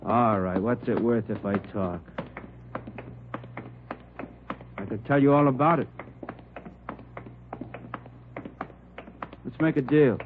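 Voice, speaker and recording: A man's voice: mid-range voice, animated, fairly quick, close to an everyday voice. An actor playing a sullen, defiant punk gunman who wheedles insolently, in 1940s radio crime drama style. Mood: wheedling. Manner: surly, insolent, agitated. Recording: muffled, noisy vintage 1940s radio recording.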